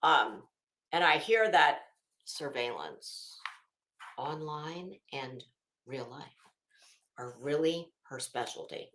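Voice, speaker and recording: A woman's voice: low-pitched, animated, slow, long pauses, fairly bright tone, roomy sound. A middle-aged woman hosting a casual livestream, rambling through stories in a sassy, wry, profane way. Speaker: livestream host.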